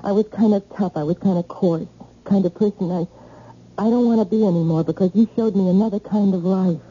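An actress playing a defiant, once-tough woman who pleads for love in an old-time radio drama, her voice husky. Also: mid-range voice, brisk, mellow, lightly acted. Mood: pleading, earnest. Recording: muffled old radio recording.

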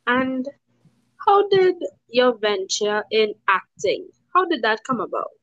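A woman interviewer asking questions in a warm, encouraging way with short replies. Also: on the high side, big pitch swings, slow pace, no room sound, dull audio.

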